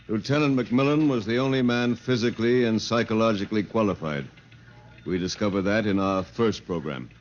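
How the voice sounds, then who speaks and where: An actor playing a laconic Air Force general with clipped military delivery in a 1950s radio drama. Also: male voice, on the low side, natural voice. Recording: dull, faintly noisy old radio recording.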